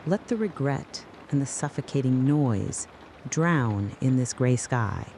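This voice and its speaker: A narrator delivering a scripted, dramatic late-night soliloquy in a deliberate, brooding way, speaking straight to the listener. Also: medium pitch, expressive, darkish tone, a little hiss or hum. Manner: slow, deliberate, brooding second-person address.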